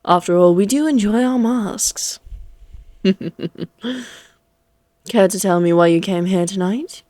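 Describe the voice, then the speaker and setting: A woman's voice: mid-range voice, takes it slowly, warm tone, dry recording, lightly acted. A woman playing an elegant, condescending female vampire in a Victorian fantasy audio drama, speaking in a poised, archly formal, teasing manner. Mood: taunting, amused. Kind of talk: scripted roleplay audio drama monologue.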